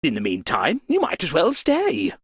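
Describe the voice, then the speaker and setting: A fussy, upper-crust party host from a video game, full of pompous theatrical outrage. The pitch leaps wildly from phrase to phrase, and the voice has a husky grain, recorded very dry. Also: female voice, wildly swooping pitch, moderate pace, dry recording, exaggerated voice. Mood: indignant, pompous. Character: fussy, dramatic upper-class party host, easily scandalized. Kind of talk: theatrical scripted game voice lines.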